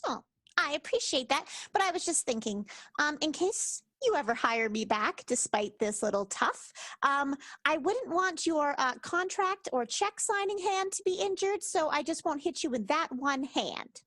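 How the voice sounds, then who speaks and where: Lady doing a polite scheming vaudeville gal, high voice, dry close sound, radio comedy. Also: lively intonation, normal speed, a bit bright, no echo. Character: polite, calculating vain female 1920s performer. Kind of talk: improvised radio comedy acting.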